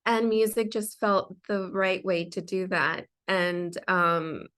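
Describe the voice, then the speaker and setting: A woman's voice: on the low side, level tone, slow pace, fairly bright tone, clean tone. Chatty young woman telling her story. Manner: chatty, many fillers and restarts. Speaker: interview guest, designer and singer. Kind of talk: casual interview storytelling.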